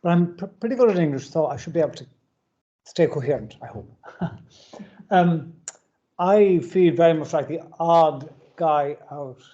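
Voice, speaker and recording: A man in his seventies with an Irish accent, Irish his first language, talks to students in an earnest, measured way. His voice is mellow and even, with a slightly muffled recording. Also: fairly high pitch. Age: 70s. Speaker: guest speaker. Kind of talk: formal talk at a university event.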